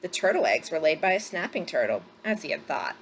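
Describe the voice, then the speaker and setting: A woman narrating a story aloud from a script, phrasing it deliberately for drama. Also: deep voice, sing-song, slight background noise, poor audio.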